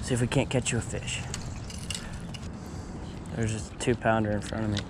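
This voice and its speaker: A young man vlogging casually while fishing outdoors at a pond, talking to viewers with excitement over each catch. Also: lowish voice, normal speed, background noise. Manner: excited, upbeat, talks to viewers as y'all. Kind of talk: casual outdoor vlog narration. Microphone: action camera on chest or in hand.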